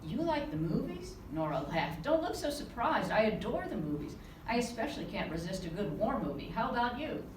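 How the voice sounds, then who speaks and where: A woman author reading aloud from her novel to a live audience, giving the dialogue a lively touch. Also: on the high side, brisk, hardly any pauses. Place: conference room. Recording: roomy, distant with background noise.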